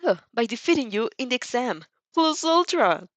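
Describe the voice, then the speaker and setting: A woman's voice: fairly high pitch, sing-song, moderate pace, a bit bright, no room sound, pitched above the performer's own voice. A woman voicing a passionate, earnest teenage hero student, a boy who speaks in emphatic exclamations in an amateur audio drama. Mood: fervent.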